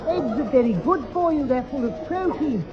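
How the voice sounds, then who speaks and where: A man's voice: very high voice, lively intonation, moderate pace. A man playing a prim, genteel, bumbling English lodger who stammers over his words in a 1960s radio sitcom. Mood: mildly reproving. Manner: fussy, stammering repetitions. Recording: dull 1960s radio recording with music.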